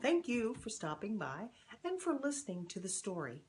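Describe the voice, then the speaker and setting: A woman's voice: mid-range voice, moderate pace, a little hiss or hum. An older woman, a grandmother, reading a children's storybook aloud expressively and speaking directly to young children. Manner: addresses young children directly, simple animated delivery.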